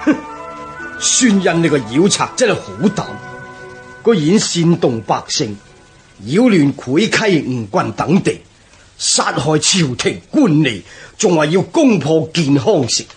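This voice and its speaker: Pompous, impatient commander, Hong Kong Cantonese radio drama. High-pitched, deliberate, faint music behind. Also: male voice, sing-song. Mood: scornful, imperious. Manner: pompous, scoffing. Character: haughty, impatient male army commander. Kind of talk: Chinese historical radio drama acting.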